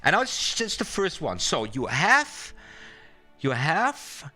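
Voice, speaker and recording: A man's voice: on the high side, huge pitch swings, takes it slowly, bright, forceful tone. A man hosting a casual card magic livestream, talking directly to his viewers in a rambling way and repeating words for emphasis. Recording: music behind the voice. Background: music.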